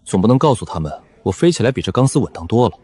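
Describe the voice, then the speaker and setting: A man's voice: fairly low pitch, very animated, rapid-fire, dark tone, breathy edge, dry recording. A man voicing a cool, aloof character with dry, unbothered confidence in an audio drama. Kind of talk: dubbed audio drama dialogue.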